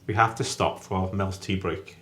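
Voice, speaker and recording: A man planning a trip on camera, chatting in a halting way that restarts its sentences. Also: sits low, steady tone, fairly bright tone, soft background music. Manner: chatty, halting, self-correcting. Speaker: travel vlogger. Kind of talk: casual chat.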